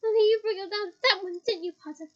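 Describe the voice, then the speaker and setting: A woman voicing a cold, sadistic middle-aged witch official with sugary menace and shrill threats. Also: quick pace, muffled recording. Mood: gloating.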